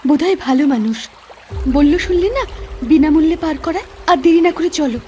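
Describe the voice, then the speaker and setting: A woman's voice: high voice, expressive, normal speed, darkish tone, slight background noise. A woman playing a trusting, practical young village wife in a horror cartoon. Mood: reassuring.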